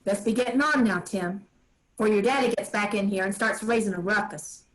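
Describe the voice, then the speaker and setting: A woman playing a firm, loving old farm grandmother in a staged play reading, giving brisk, kindly orders in plain rural dialect. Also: medium pitch, close to an everyday voice, rough recording.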